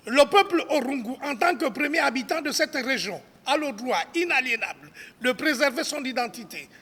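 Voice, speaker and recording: A man with a very high, creaky voice reads a formal statement. His delivery is solemn and declamatory, with occasional stumbles over the written text. Long reverb from a hall trails his bright, forceful words. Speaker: civil society spokesman. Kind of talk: formal public statement read aloud.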